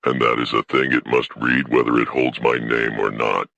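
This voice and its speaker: A man playing a stern, proud alien from a wronged people in a sci-fi audio story, solemn and unbending, with a gravelly voice. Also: level tone, normal speed, sharp tone, no echo, muffled recording, exaggerated voice. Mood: solemn defiance. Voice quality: very deep, gravelly.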